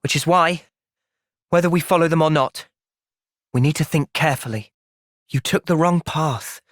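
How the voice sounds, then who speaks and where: A young man playing a thoughtful, earnest young soldier in video game cutscenes, speaking in a gentle, reflective manner. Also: a little high, moderate pace, dry recording, clean studio sound, natural voice. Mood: calm, reflective.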